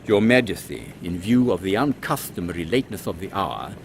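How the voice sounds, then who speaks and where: A man playing a genial, wry captain in an audio drama, expressive and savouring his words. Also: low voice, fairly quick. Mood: amused. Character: genial, wry captain in a queen's secret service. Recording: loud vehicle-like ambient noise.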